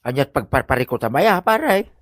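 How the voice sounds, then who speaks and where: A man's voice: mid-range voice, sing-song, normal speed, fairly bright tone, dry recording, clean studio sound. A man with an Ilocano accent playing a teasing, nosy buddy in a village comedy drama, full of exclamations.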